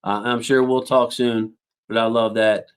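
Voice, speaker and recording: A man hosting a casual football livestream, reading out viewer comments and thinking aloud with lots of fillers, and his voice has a slightly squeaky edge. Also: little pitch movement, a little slow, clean tone. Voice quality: self-described squeaky voice. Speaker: football channel host reading live chat.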